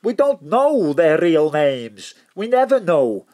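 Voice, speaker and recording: A woman's voice: sits low, big pitch swings, takes it slowly, dark tone, exaggerated voice. A woman playing an exasperated, slightly befuddled official in an audio drama. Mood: weary, irritable.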